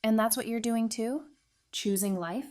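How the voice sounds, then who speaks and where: A woman's voice: on the high side, moderate pace, darkish tone, close to an everyday voice. A woman playing a composed, candid psychiatrist in an audio drama, speaking thoughtfully. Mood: probing.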